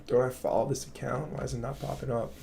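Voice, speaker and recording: A man with a raspy voice hosting a casual crypto livestream, explaining charts to viewers and often checking in with "right?". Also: medium pitch, little pitch movement, fast talker, warm tone, a little hiss or hum. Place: home studio. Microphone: studio mic.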